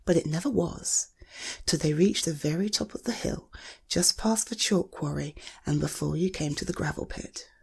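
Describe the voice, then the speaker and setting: A British woman reading a children's story in a soft, breathy ASMR style, gentle, measured and soothing. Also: low-pitched. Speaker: storybook reader.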